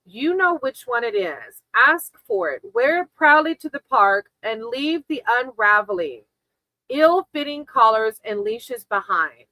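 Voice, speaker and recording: A woman's voice: a little high, big pitch swings, measured pace, pushed and bright, dry recording, rough recording. A woman hosting a casual live tarot reading, talking to her chat in a reassuring, encouraging way. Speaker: tarot reader hosting a livestream.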